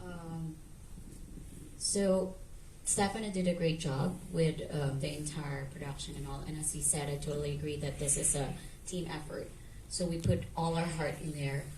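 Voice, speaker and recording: Hesitant female actress, deep mellow voice; far, reverberant pickup. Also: level tone, moderate pace, slight background noise. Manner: hesitant, with fillers. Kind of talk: festival Q&A answers. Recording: echoey room, mic at a distance.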